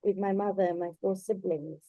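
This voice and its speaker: A middle-aged or older native Bengali-speaking woman giving a reflective, conversational talk, pausing and feeling her way through her thoughts. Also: medium pitch, fairly even intonation, mellow, no room sound, rough recording. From Bangladesh in early childhood, then moved abroad. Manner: hesitant, thoughtful, halting phrasing. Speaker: invited speaker at a book launch.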